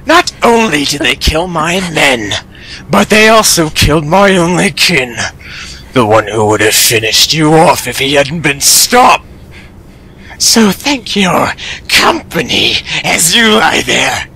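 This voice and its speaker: A man's voice: on the high side, big pitch swings, slow, creaky, sharp tone, slightly rough, full character voice. A man playing a vengeful, unhinged vampire villain with a taunting, mock-polite menace in an audio drama. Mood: enraged. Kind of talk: dramatic audio fiction acting.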